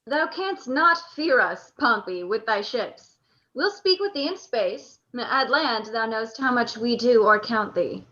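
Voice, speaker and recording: A woman's voice: on the high side, lively intonation, a little slow, bright, forceful tone, highs cut off. A woman playing a confident, soldierly general with assured, declamatory delivery in a Shakespearean radio play. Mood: defiant. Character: confident, soldierly general Antony facing down a rebel.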